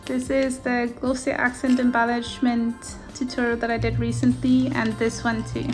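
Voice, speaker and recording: Woman crafter, Afrikaans first language, soft and fairly high voice, faint music underneath. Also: level tone. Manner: gentle, softly enthusiastic, unhurried. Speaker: junk journal maker. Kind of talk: casual flip-through narration. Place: indoors at a craft table.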